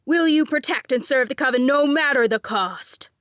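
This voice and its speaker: Lady doing a young guy putting on a big bossy ritual voice, kinda high, dry and close. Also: unhurried, fairly bright tone, no echo. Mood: commanding. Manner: commanding, pompous ceremonial delivery. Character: young man faking a booming ritual voice.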